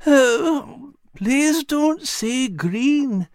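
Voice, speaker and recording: Storybook fat tomcat: goofy, whiny, high voice, big swoops. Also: male voice, sing-song, measured pace, dry recording, clean studio sound, exaggerated voice. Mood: queasy. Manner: whiny, childlike, dim but good-hearted. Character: goofy whining gluttonous male orange Irish cat.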